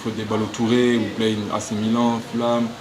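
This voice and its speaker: A man from France answering press questions in halting, searching English, restarting his sentences often. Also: lowish voice, little pitch movement, background noise. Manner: halting non-native English, frequent restarts. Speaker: professional footballer. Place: media room.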